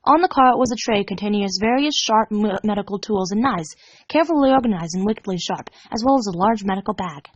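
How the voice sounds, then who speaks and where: A woman's voice: medium pitch, expressive, fast, dry recording, lo-fi sound. A woman narrating fan fiction in a dramatic storytelling style.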